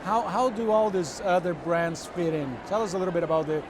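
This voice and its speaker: A man's voice: high voice, a little fast. A man hosting an interview at a trade show, asking questions with frequent repeated words and restarts. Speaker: interview host. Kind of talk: conversational interview. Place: busy trade show floor. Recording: noisy trade show floor.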